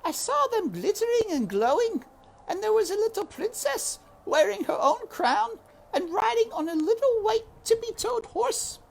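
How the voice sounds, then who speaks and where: A woman's voice: very high voice, big pitch swings, normal speed, gravelly, darkish tone, pitched above the performer's own voice, exaggerated voice, rough recording. A woman voicing a hopeful, naive seven-year-old peasant girl with earnest, uneducated childlike speech in a storybook reading. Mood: wonderstruck. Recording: windy outdoor ambience noise.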